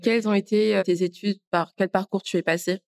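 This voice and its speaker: A woman hosting a podcast, upbeat and clear as she introduces and asks questions. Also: mid-range voice, steady tone, brisk, a little mellow. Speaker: podcast host. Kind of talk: scripted intro then interview questions.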